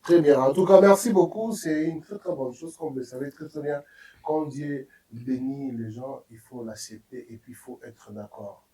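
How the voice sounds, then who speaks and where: A man's voice: mid-range voice, normal speed, warm tone, a little hiss or hum, poor audio. A man with a cold hosting a casual panel chat, mixing French and Lingala and laughing often. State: has a cold. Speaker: host.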